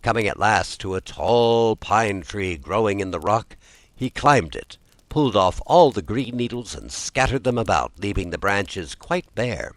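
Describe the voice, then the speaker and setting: Man narrating a fairy tale with lively pitch. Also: a little slow, no room sound. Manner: expressive storytelling delivery. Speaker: storyteller, audiobook narrator.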